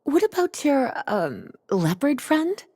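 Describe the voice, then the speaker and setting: Playful, teasing librarian, lively intonation. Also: female voice, sits high, slow, a little hiss or hum, heavily acted. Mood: teasing, welcoming. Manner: coy, teasing politeness. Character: playful, teasing, unhurried adult woman librarian of a fantasy town. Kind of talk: video game voice acting.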